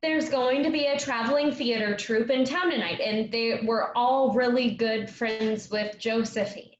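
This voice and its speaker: A woman's voice: on the high side, fairly even intonation, unhurried, lightly acted. A woman playing a stubborn, harried young woman in a staged play reading, defensive and insistent, in a dull, echoey, noisy recording. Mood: frustrated. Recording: dull, echoey room with clear noise.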